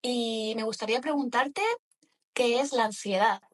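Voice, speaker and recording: A woman's voice: on the high side, measured pace. A woman hosting a podcast, interviewing a guest in a casual, informal and attentive way.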